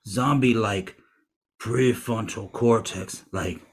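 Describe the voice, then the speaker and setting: A man playing a hungry, picky zombie in a comedic audio play, speaking slow broken English in a rough, gravelly moan. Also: on the low side, exaggerated voice. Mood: hungry, dismissive. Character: hungry, picky, dim-talking male zombie.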